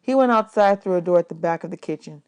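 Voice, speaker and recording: A woman reading a children's novel aloud as a teacher would, in an expressive storytelling style. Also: lowish voice, quick pace, smooth. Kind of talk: expressive audiobook read-aloud.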